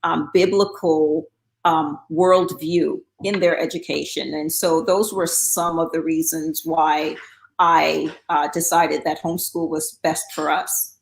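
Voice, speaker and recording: A woman's voice: low voice, fairly even intonation, slow, vocal fry, reverberant, poor audio. A middle-aged woman from Guyana, talking casually as an interview guest, with frequent fillers and restarts. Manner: chatty, with many um fillers and restarts.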